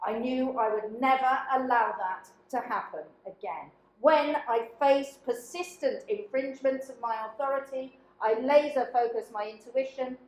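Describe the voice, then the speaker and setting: A woman giving a deliberate, dramatic spoken-word reading, with emphatic phrase openings that fall away to quieter endings. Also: on the high side. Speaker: dramaturge reading a participant's written piece. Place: echoey indoor workshop room. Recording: echoey room.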